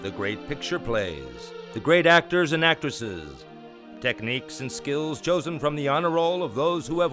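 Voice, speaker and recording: A man's voice: a little high, fairly bright tone. A man reading scripted radio announcements in a formal, declamatory way.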